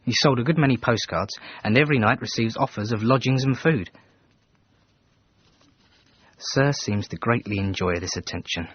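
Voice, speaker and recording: An actor playing a reserved Edwardian manservant in a radio drama, reading out his letters in a formal, measured, dryly disapproving way. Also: mid-range voice, close to an everyday voice. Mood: dry, detached. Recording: dull band-limited radio, faint noise.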